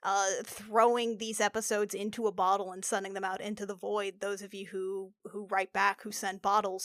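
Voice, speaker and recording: A woman chatting casually as a podcast regular, playful and expressive. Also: medium pitch, no room sound, studio quality. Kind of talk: casual group chat. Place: remote group recording over a call.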